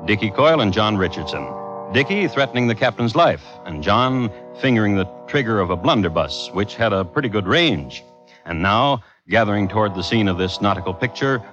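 Low voiced guy as old radio narrator, dry and deliberate, music behind, muffled sound. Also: lively intonation, close to an everyday voice. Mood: dry storytelling. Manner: deliberate, dry, clipped phrases. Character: measured, dryly theatrical storyteller of sea crime tale. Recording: muffled vintage radio recording.

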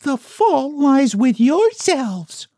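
A man voicing a frightened, then bossy little girl in a storybook reading, with a shrill, scolding manner. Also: very animated, measured pace, no echo, clean studio sound, exaggerated voice.

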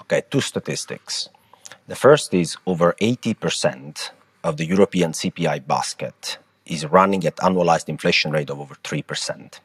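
A man with an Italian accent co-hosting a podcast, talking through economic data in a lively, emphatic way. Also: very deep voice, moderate pace, breathy edge. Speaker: podcast co-host. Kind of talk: casual market discussion.

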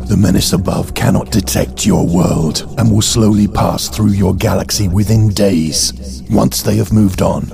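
Man acting a gravelly, pompous alien overlord. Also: lowish voice. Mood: commanding. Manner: formal, grandiose, solemn. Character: pompous alien android posing as benevolent deity. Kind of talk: dramatic audio drama speech.

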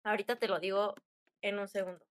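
A woman's voice: mid-range voice, quick pace. A young adult woman with a Mexican accent telling stories casually, in a chatty, slangy way with acted-out bits of dialogue. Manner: chatty, informal, slangy, animated retelling with quoted dialogue. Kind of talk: casual storytelling chat.

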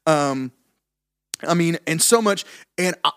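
A man co-hosting a casual music podcast, bluntly dismissive and halting, with frequent restarts. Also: a little high, animated, a bit bright, smooth, no echo, studio quality. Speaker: podcast co-host. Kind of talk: casual opinionated music chat.

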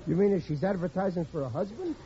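A man's voice: high-pitched, lively intonation, quick pace, crowd noise. An actor playing a blustering, gullible, worrying working man in a 1940s radio comedy, talking in a folksy, ungrammatical way. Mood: puzzled. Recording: muffled, noisy old radio recording.

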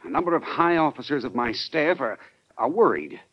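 An actor playing a worried, deferential Secretary of the Navy, formal and earnest, in an old-time radio drama. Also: male voice, mid-range voice, very animated, normal speed, husky. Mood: anxious, respectful. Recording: dull, lo-fi vintage radio recording.

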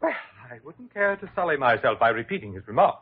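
An actor playing a prim, fussy, easily flustered male hospital doctor in an old radio drama, with a breathy, prissy delivery. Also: medium pitch, very animated, fast talker. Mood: prissy, indignant. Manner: prissy, stammering, pompous. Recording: dull, lo-fi vintage radio recording.

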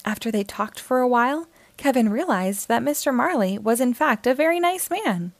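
A woman's voice: fairly high pitch, lively intonation, moderate pace, no room sound. A woman narrating a storybook aloud for young children.

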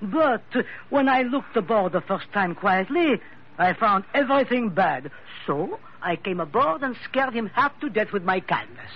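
An actor playing a jaunty, coolly ruthless French investigator with a French accent and blithe, formal phrasing in a 1940s radio drama. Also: male voice, high voice, animated, measured pace, husky, full character voice. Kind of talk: 1940s radio adventure acting. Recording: muffled, hissy vintage radio.

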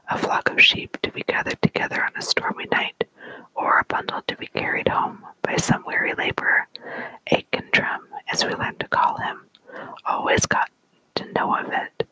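Soft whispered storyteller. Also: no echo, low-quality recording. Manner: soft unvoiced whisper, slow even reading.

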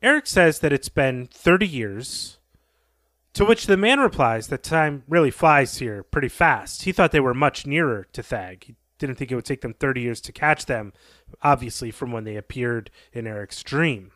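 A man's voice: medium pitch, lively intonation, normal speed, fairly bright tone, no room sound, studio quality. A man co-hosting a podcast, retelling a story and commenting on it as he goes. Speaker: podcast co-host.

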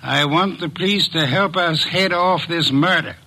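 A man playing a shrewd, precise middle-aged detective in a 1940s radio drama, measured and a little pompous. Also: fairly high pitch, heavy background noise, lightly acted.

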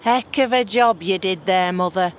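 A woman's voice: mid-range voice, normal speed, a little hiss or hum, lightly acted. A woman playing a bitter, defiant runaway daughter of a monarch in a fantasy audio drama, sarcastic and blunt, with slangy complaints.

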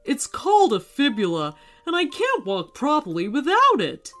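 A woman's voice: high-pitched, wildly swooping pitch, moderate pace, a bit bright, faint music behind, voice pushed up, heavily acted. A woman doing a giant sheepish skeleton. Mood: apologetic. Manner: polite and apologetic. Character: giant male ninja skeleton, gruff turned sheepish. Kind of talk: children's storybook reading.

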